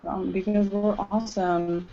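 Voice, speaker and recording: A woman's voice: medium pitch, little pitch movement, measured pace, warm tone. A woman co-hosting a podcast in casual, playful and teasing banter. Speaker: podcast co-host. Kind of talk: casual banter about anime. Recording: dull lo-fi audio.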